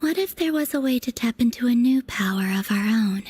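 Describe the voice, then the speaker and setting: An actress voicing a mysterious, ethereal young woman in a video game, speaking softly and calmly in a breathy whisper. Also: on the high side, faint music behind, lightly acted. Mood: hushed. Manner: soft, calm, unhurried.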